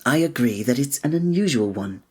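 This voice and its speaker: Man doing flirty wry innkeeper, ASMR roleplay, breathy airy voice, mid pitch, formal olden speech, chuckles. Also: lively intonation, moderate pace. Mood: amused. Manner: formal old-fashioned wording with chuckling asides.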